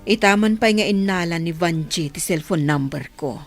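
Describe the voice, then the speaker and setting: A woman's voice: on the low side, moderate pace, soft background music, lightly acted. An actress playing a suspicious, puzzled Ilocano mother in a radio drama. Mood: uneasy.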